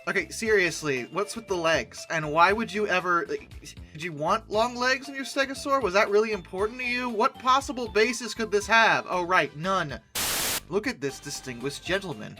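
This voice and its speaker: A video host delivers sarcastic comic commentary. The voice is bright and forceful, with animated pitch swings and mock-incredulous exasperation, over faint background music. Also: moderate pace. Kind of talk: comic commentary on dinosaur art.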